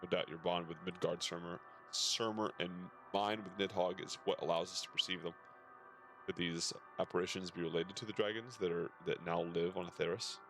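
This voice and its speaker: A man's voice: low voice, fairly even intonation, fairly quick, a bit bright. A man reading aloud the formal game dialogue of a serious, cautious male fantasy warrior. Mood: serious, wary. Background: faint game music.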